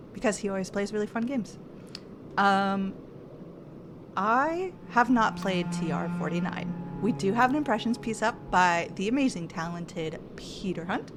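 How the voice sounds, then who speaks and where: A woman hosting a game livestream, chatting casually with her viewers, full of fillers and restarts. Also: medium pitch. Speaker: streamer host. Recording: music playing behind. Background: background music.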